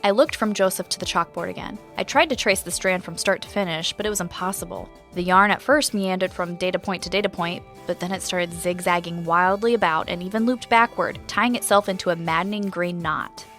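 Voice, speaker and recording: An actress playing a curious, chatty podcast host investigating a mystery, moving between scripted narration and casual, wry conversation. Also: female voice, lowish voice, fairly quick, hardly any pauses, fairly bright tone, faint music behind, close to an everyday voice. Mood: curious, intrigued. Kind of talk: scripted first-person narration and conversational dialogue.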